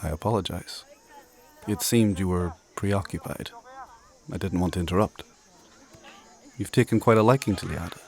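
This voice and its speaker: Very deep, solemn ancient spirit. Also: male voice. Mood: knowing, measured. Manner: measured, formal, archaic phrasing. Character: ancient immortal male spirit mentor, solemn and knowing. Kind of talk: dark fantasy audio drama acting and narration.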